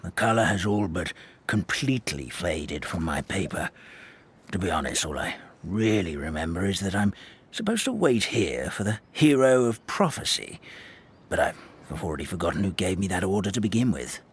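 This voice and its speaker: Forgetful, animated old grandpa. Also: male voice, low voice, husky, exaggerated voice. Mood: sad, hopeful. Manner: halting, rambling, theatrical storytelling with stammered repeats. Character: forgetful kindly elderly paper forest dweller, grandfatherly. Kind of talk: video game character storytelling. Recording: studio voice over background music.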